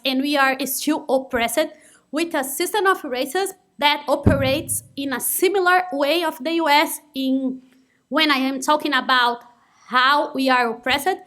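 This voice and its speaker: A woman's voice: sits high, fairly bright tone. A young woman from Brazil with a Brazilian Portuguese accent, giving an earnest conference talk in non-native English. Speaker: conference speaker. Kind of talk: conference presentation.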